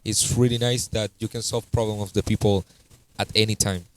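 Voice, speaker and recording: A man with a Spanish accent hosting a podcast, searching for English words in a halting, friendly way. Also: on the low side, fairly even intonation, rough recording. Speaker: podcast host. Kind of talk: casual interview in a second language.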